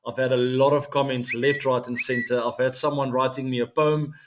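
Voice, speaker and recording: A man in his forties with a South African accent, Afrikaans his first language, hosting his own weekly cricket show in a relaxed, chatty way full of ums and asides to viewers. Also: medium pitch, fairly quick, noisy background. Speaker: show host.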